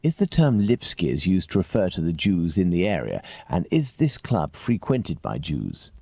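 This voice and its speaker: A gentleman detective from a mystery game, with an English accent. His voice is low and slightly rough, rising and falling in lively fashion as he lays out things precisely and formally. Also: normal speed, no echo, full character voice. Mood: probing. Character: keen, methodical male gentleman detective, coolly self-assured. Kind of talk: video game detective dialogue.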